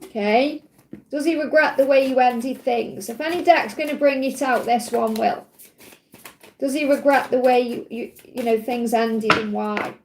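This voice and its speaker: A woman from Stoke-on-Trent with her local accent and a high voice, reading tarot cards live for viewers. She speaks in a soothing, reassuring way and keeps checking in with "okay?". Her pitch sits well above most women's. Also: measured pace, noisy background. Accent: Stoke-on-Trent English. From England (Stoke-on-Trent). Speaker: tarot reader on a livestream. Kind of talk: live card reading answering viewer questions.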